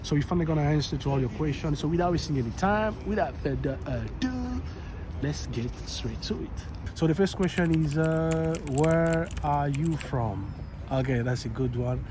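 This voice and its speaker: A young man from Rwanda hosting a casual Q and A vlog outdoors in a city, chatty and relaxed. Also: fairly high pitch, normal speed. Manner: relaxed, chatty, loose and upbeat. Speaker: YouTube channel host. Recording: outdoor city noise and traffic. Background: street and traffic noise.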